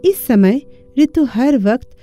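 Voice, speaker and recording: A woman's voice: high-pitched, expressive, slow, warm tone, faint music behind. A woman giving scripted narration for a cartoon moral story.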